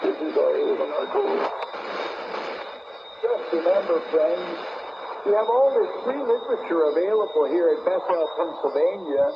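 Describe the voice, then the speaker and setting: Muffled shortwave reception, American man, high-pitched voice, earnest religious broadcaster, declamatory delivery. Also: animated, moderate pace. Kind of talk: radio sermon and sign-off address to listeners. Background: shortwave static and hiss.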